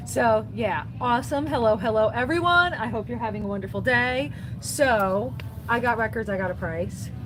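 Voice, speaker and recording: A woman who runs a record store, chatting casually on a livestream and reading out viewer comments in a chatty, upbeat way. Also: mid-range voice. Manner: chatty and upbeat, often saying "so yeah". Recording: noisy background.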